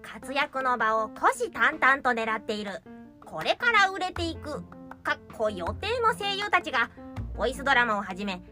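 A woman's voice: high-pitched, sing-song, moderate pace, pushed and bright, soft background music. A woman voice actor co-hosting an amateur radio show, in scripted intros and casual chat.

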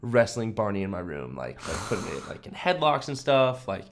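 A man's voice: on the low side, very animated, normal speed. A young American man co-hosting a podcast, reminiscing in a casual, enthusiastic and slangy way. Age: young adult. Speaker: podcast co-host.

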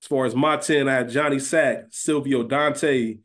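A man's voice: medium pitch, steady tone, a little slow, fairly bright tone. A man co-hosting a podcast, chatting informally and conversationally. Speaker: podcast co-host. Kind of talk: casual banter about a TV series fantasy draft.

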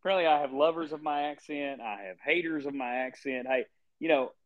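A man's voice: fairly high pitch, fairly quick, no room sound, lo-fi sound. A man with a Southern accent co-hosting a sports podcast in a laid-back, joking drawl, often repeating his phrases. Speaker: podcast co-host. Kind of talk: casual sports chat.

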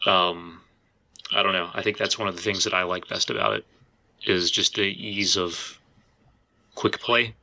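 Guy hosting a podcast, low creaky voice but really bright and sharp, chatting casually. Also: animated, unhurried, long pauses. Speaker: podcast host.

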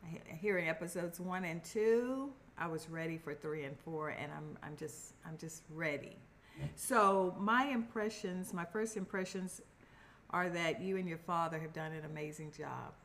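Mother guest, woman, hoarse and lower-pitched, reflective and halting. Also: fairly bright tone, a little hiss or hum. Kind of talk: casual podcast chat.

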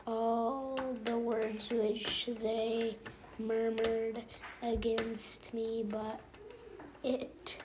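Woman, family scripture reader, slow and halting, slightly high and flat, noisy home recording. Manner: careful, halting reading with occasional coughs and self-corrections.

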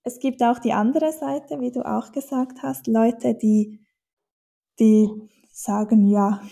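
A woman's voice: a little high, fairly even intonation, takes it slowly, gravelly, dark tone. A woman from German-speaking Switzerland with a Swiss accent, a dialect teacher answering interview questions thoughtfully, with many fillers and restarts.

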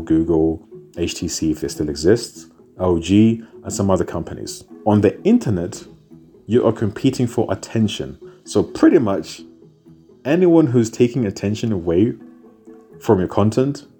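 A man's voice: low voice, lively intonation, normal speed, mellow, soft background music. A man born in Zimbabwe and raised in the United Kingdom, explaining content strategy in a talk, stacking repeated phrases for emphasis. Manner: repetitive, building lists for emphasis. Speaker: content marketing speaker.

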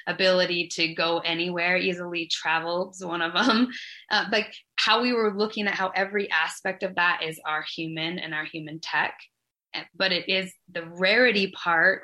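A woman's voice: fairly low pitch, steady tone, moderate pace, sharp tone. A woman hosting a podcast interview, talking reflectively and searching for words, with spiritual language. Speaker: podcast host.

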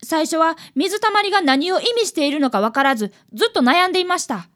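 A woman voicing a very high-pitched eager schoolboy. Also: animated, fast, a bit bright. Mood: proudly explaining. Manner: earnest, polite boyish speech. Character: eager, polite fifth-grade boy apprentice detective. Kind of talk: radio drama acting.

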